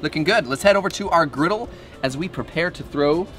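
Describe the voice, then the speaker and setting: A man's voice: on the high side, fairly quick. A man in his thirties hosting a casual cooking show, bantering in a joking, self-deprecating way. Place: outdoor covered patio griddle kitchen. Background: music, food sizzling.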